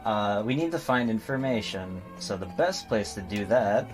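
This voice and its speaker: A man streaming a game with casual, explanatory commentary in a halting way. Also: lowish voice, animated, over music.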